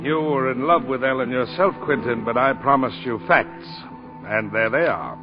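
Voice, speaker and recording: An actor playing a sober lawyer recounting a tragic story in measured, dramatic 1940s radio style. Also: male voice, medium pitch, natural voice. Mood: grave. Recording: muffled, reverberant 1940s radio with music.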